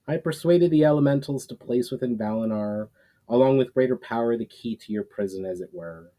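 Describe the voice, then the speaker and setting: Man reading as a calm, knowing mountaintop sage, mellow. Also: medium pitch, brisk, natural voice, rough recording. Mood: amused, knowing. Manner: calm, knowing, formal storybook speech. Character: mysterious wise man on mountaintop, calm old friend, gambler.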